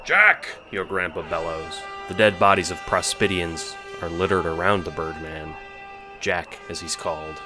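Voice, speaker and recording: A man's voice: low-pitched, flat delivery, normal speed. A man narrating an audio drama in a dramatic, scripted storytelling style, with music behind him.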